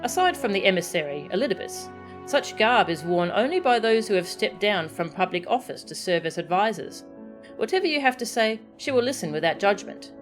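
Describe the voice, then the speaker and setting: A woman's voice: medium pitch, big pitch swings, brisk, lightly acted. A woman voicing a polite, attentive guide in a video game, speaking in a formal, solicitous manner. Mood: reassuring. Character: polite, attentive guide addressing a small familiar. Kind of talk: video game dialogue read aloud. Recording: game music behind the voice.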